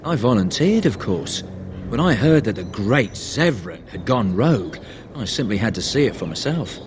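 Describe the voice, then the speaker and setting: An actor playing a smug, persuasive assassin in a fantasy video game, speaking theatrically. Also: male voice, fairly low pitch, very animated, normal speed, a little hiss or hum. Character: smug male assassin offering an old friend mercy.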